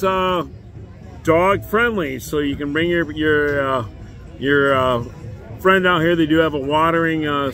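An older man in his sixties giving a relaxed, rambling beer-garden tour, filmed on a handheld phone outdoors. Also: fairly high pitch. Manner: relaxed, rambling, many fillers. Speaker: beer review vlogger. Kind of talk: casual vlog walk-through. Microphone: phone camera at arm's length.

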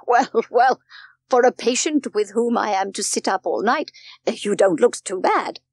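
A woman voicing a kindly, good-humoured older male doctor in a storybook, with a gentle, measured manner. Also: fairly high pitch, husky, dry recording, pitched above the performer's own voice. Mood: jovial, teasing. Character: kindly, jovial grey-haired male doctor, calm and reassuring.

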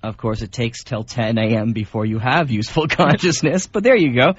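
A man's voice: medium pitch, a little fast, husky, no echo. A man hosting community radio with rambling, jokey, unscripted banter. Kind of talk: casual unscripted co-host banter. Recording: dull lo-fi radio recording.

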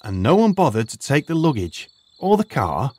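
An actor playing a retired archaeologist turned high school teacher, measured and explanatory in an audio drama. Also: male voice, sing-song, a little mellow, breathy edge. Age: older.

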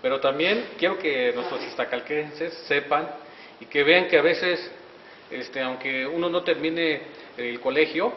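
A man's voice: a little high, a little slow, a little hiss or hum. A middle-aged male reporter interviewing guests on community TV, effusive and congratulatory, with many fillers, holding a handheld mic indoors. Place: wood-paneled auditorium hallway, indoors. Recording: echoey hall from a distance, dull sound.

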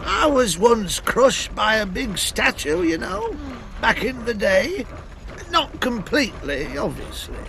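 An actor playing a pompous, blustering, cowardly governor in a comic video game, with loud music behind him. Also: male voice, very high-pitched, big pitch swings, takes it slowly, creaky, slight background noise, exaggerated voice. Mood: flustered.

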